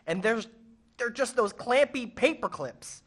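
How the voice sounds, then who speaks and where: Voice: medium pitch, expressive, measured pace, a bit bright. An actor playing a dim-witted, earnest office worker in a stage comedy. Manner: earnest, literal.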